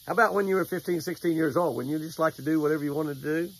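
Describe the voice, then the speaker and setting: A man's voice: a little high, racing, slight background noise, rough recording. An older American man outdoors by a lake, giving a folksy devotional and fishing tip, repeating phrases for emphasis. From United States. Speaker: devotional host and fishing angler. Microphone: camera at close range.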